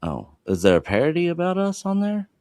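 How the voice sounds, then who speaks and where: A man's voice: fairly high pitch, huge pitch swings, fairly quick, darkish tone, no echo. A man from Alabama on a livestream call from a small laptop, laid-back, giving brief replies and reading the chat aloud. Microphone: laptop on video call.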